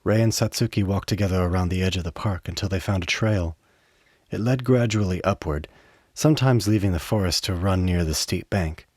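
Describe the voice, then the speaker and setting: A man narrating a radio play as a scripted story, in a measured, descriptive manner. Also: low-pitched, darkish tone, no echo, studio quality.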